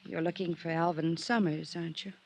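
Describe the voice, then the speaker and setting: An actress playing a sultry, world-weary drifter stranded abroad in a 1950s radio drama, earnest and pleading, with weary pauses. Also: female voice, on the low side, fairly even intonation, vocal fry, darkish tone, slightly rough. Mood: bargaining, guarded. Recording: old radio drama recording.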